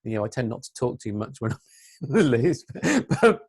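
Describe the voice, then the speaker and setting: A woman with a deep voice and an English accent, speaking earnestly as an interview guest, with frequent restarts and fillers. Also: very animated, brisk, darkish tone, breathy edge. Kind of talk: interview conversation.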